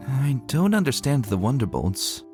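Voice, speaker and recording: A man plays a little girl pony princess in a storybook drama, lifted high and swinging widely in pitch. The child is earnest, eager and quick to argue. Music plays softly behind the close, dry voice. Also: sing-song. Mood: hopeful, curious. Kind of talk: dramatic fan-fiction reading.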